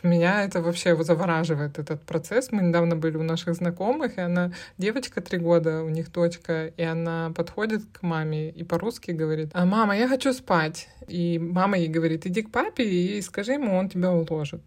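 Lower-voiced woman, musing and reflective. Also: fairly quick, hardly any pauses, a little mellow. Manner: reflective, marvels and exclaims as she talks. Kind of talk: casual conversation.

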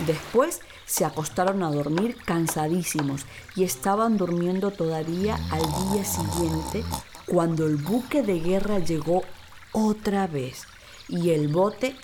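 A woman storyteller narrating for children in an expressive, dramatic way, with deliberate, emphatic phrasing. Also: on the low side, noisy background. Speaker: actress, storyteller. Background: music and water sound effects.

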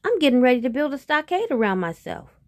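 A woman's voice: high-pitched, sing-song, quick pace, no room sound, voice pushed up. A woman voicing a sly male trickster rabbit in a storybook tale, explaining things earnestly with feigned innocence. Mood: feigned earnestness. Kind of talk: storybook character voice.

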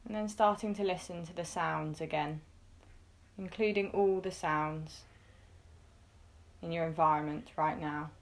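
Very slow-paced woman guiding meditation. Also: low-pitched, steady tone. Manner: gentle, unhurried, reassuring, with pauses between short phrases. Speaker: meditation guide. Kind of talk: guided meditation, instructional.

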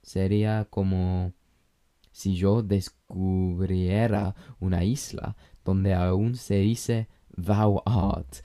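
A man who is a native English speaker, talking Spanish with an English accent, hosting a language-learning podcast in a measured, explanatory way with light jokes. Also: low voice, flat delivery, warm tone. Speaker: podcast host. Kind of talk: scripted language-learning monologue.

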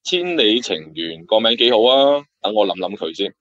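An actor plays a good-natured bartender in a radio play. He jokes in a low, easygoing voice, and the sound is a little dull and muffled. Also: sing-song, moderate pace, a bit bright. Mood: jokey, friendly. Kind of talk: Cantonese audio drama acting.

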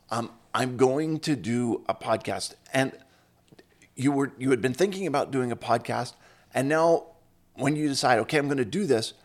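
A man hosting a podcast interview, introducing his guest with upbeat enthusiasm. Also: medium pitch. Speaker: podcast host. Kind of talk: casual interview.

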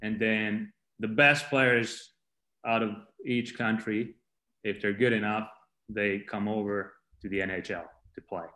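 A man's voice: fairly low pitch, steady tone, measured pace, bright, forceful tone. A man from Finland, a coach giving plain-spoken, explanatory answers as an interview guest. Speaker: podcast guest, strength and conditioning coach. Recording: roomy, echoing sound.

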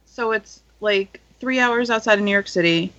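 A woman's voice: mid-range voice, normal speed, sharp tone. A woman from Western Massachusetts, co-hosting a podcast, describing things in a rambling, explanatory way. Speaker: podcast co-host. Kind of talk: casual chat. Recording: slightly dull lo-fi sound.

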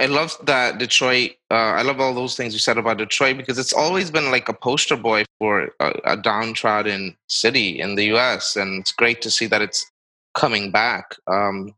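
A man interviewing a guest, enthusiastic and quick to react. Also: lowish voice, bright, forceful tone, poor audio. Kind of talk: casual interview talk.

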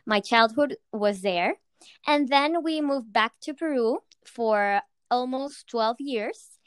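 Young Latin American woman founder, smooth voice. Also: fairly high pitch, slow, no room sound. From Argentina. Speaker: founder of a fitness company. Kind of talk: interview answers.

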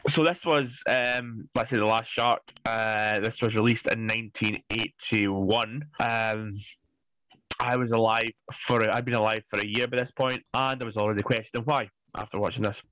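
A man's voice: on the low side, steady tone, moderate pace, pushed and bright, no room sound, poor audio. A man in his forties co-hosting a film podcast, ranting bluntly and sarcastically with plenty of swearing. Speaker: podcast co-host. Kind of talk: casual chat.

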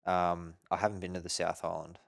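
A man's voice: sits low, flat delivery, fairly quick, gravelly, no room sound, clean studio sound. A man hosting a podcast, chatting informally and asking short, curious follow-up questions. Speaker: podcast host. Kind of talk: casual interview chat.

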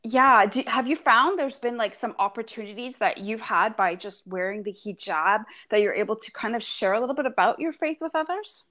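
A woman's voice: on the high side, lively intonation, pushed and bright. Affirming woman interviewer. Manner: affirming listener, halting questions with restarts. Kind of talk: casual interview.

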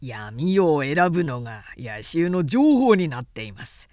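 A woman's voice: low voice, sing-song, a little slow, darkish tone, no echo, clean studio sound, voice pushed down. A woman voicing a boisterous, confident male warrior general with emphatic, old-fashioned speech in an audiobook reading. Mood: confident, eager.

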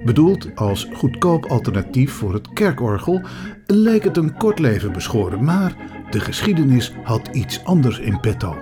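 A man's voice: medium pitch, sing-song, moderate pace, over music. A man reading scripted narration for a documentary podcast as its narrator.

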